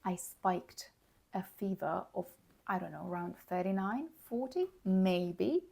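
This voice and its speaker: Hoarse woman teaching slow English. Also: medium pitch, darkish tone. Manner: explains words plainly, checks in with "okay?" and "all right?". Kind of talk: slow, clear teaching monologue.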